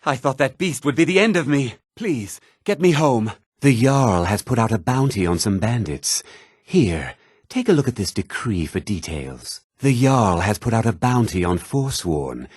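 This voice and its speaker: A man playing a self-important, proud and scheming townsman in a fantasy video game, speaking in a theatrical, formal and deliberate way with a husky voice. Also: mid-range voice, big pitch swings, darkish tone, dry recording, studio quality. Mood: grateful, earnest. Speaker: video game character voice actor.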